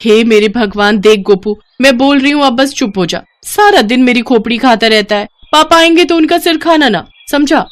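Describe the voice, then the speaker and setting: A woman's voice: on the high side, moderate pace, hardly any pauses, close to an everyday voice. A woman voicing an exasperated, scolding young mother in a moral story. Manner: scolding, harried.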